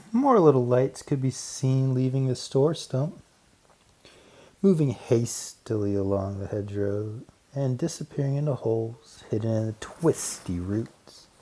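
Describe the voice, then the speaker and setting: A man reads a children's story aloud in a mid-pitched voice. He goes very slowly, with deliberate pauses and dramatic emphasis on key words. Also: lively intonation. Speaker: audiobook narrator.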